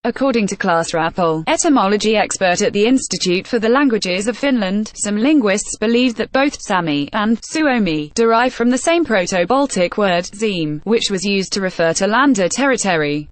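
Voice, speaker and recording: A woman narrating a travel feature article in a steady, even reading voice with odd pauses. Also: medium pitch, dry recording.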